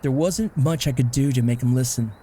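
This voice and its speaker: A man's voice: medium pitch, fairly quick, mellow, slight background noise, natural voice. A man playing an easygoing, self-deprecating middle-aged father in a comic dub, with a casual, reflective way of talking. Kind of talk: amateur comic dub acting.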